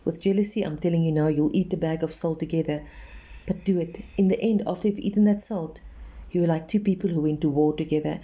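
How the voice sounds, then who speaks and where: An earnest woman gives relationship advice in a reassuring tone, repeating her points for emphasis. Her voice is somewhat low and very dark and mellow. The recording is slightly muffled and lo-fi. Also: fairly even intonation, quick pace. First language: Afrikaans. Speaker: relationship advisor. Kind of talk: advice monologue.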